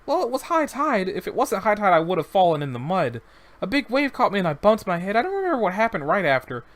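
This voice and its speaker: A wide-eyed little boy spills out his story in a high, eager voice, his pitch bouncing all over as he rushes from one thought to the next, as a man puts him on. He sounds earnest and a bit breathless, like a child who badly wants to be believed. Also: huge pitch swings, a bit bright, heavily acted. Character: young boy, earnest and chatty, fond of whales.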